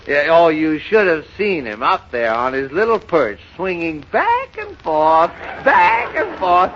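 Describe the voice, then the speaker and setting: A man's voice: on the high side, very animated, unhurried, a bit bright. An actor playing a fussy, boastful man who spins absurd tall tales in 1930s radio comedy, fluttering and sputtering through a noisy, muffled recording. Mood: wistful, boastful. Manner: fluttery, sputtering, self-important storytelling.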